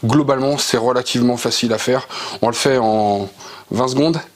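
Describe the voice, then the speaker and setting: A man's voice: medium pitch, steady tone, a little fast. Casual French man reviewing motorcycle gear. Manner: informal, explanatory, enthusiastic. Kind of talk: casual product review to viewers.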